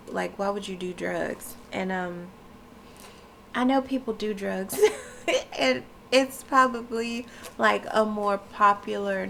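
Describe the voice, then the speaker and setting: A woman's voice: mid-range voice, gravelly, a bit bright, a little hiss or hum. A woman talking casually to camera with a stuffy nose and a froggy voice, chatty and loose with many fillers. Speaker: wellness vlogger. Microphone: camera mic.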